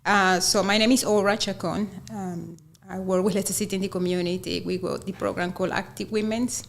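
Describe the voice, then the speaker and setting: A woman's voice: medium pitch, steady tone, a little fast, gravelly. A woman from Venezuela with a Venezuelan Spanish accent, a radio interview guest who works on a community sports program. Her first language is Spanish, and she talks earnestly, repeating words while she searches for them.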